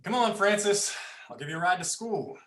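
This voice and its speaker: A man's voice: sits high, animated, fairly quick, a bit bright. A man playing a volatile, foul-mouthed young man who bullies his younger brother in a dramatized script reading, taunting and menacing. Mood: impatient, taunting. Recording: roomy livestream sound.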